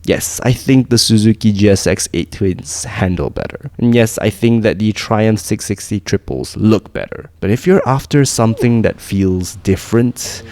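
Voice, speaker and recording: A man's voice: lowish voice, moderate pace, hardly any pauses, dark tone. A man with a Malaysian English accent reading a scripted, enthusiastic motorcycle review voice-over. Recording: voice-over over music.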